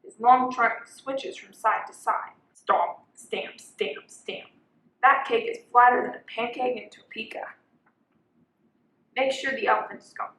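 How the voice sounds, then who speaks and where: A woman's voice: on the high side, fairly even intonation, unhurried, sharp tone. A young woman reading a children's picture book aloud as a volunteer storyteller. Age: young adult. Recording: roomy, echoing sound.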